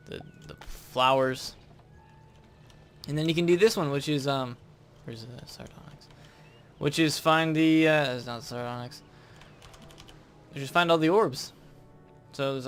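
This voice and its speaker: Male gaming host, mid-pitched, casual thinking-aloud commentary, faint noise with keyboard clatter. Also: fairly bright tone.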